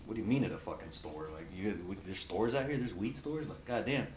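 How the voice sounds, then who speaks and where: A man's voice: lowish voice, fairly quick, a little mellow, reverberant, a little hiss or hum, rough recording. A man in his forties from Texas, talking casually about his cannabis brand. He swears freely and says "bro" a lot. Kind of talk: casual podcast chat.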